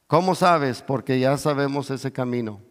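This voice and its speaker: A middle-aged man preaching in Spanish, with as his language, who peppers his sermon with hallelujahs, calls for amen, and swells toward near shouts. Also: mid-range voice, normal speed, clean tone. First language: English. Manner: moves about and gestures on stage, voice swells to near shouts. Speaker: pastor. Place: large church stage. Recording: long reverb of a big church hall.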